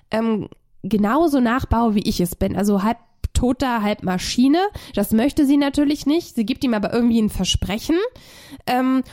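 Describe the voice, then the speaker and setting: A woman's voice: a little high, dark tone. Woman with a cold chatting about a film. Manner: rambling, many fillers and restarts. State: has a cold. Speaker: podcast co-host. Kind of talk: casual film review chat.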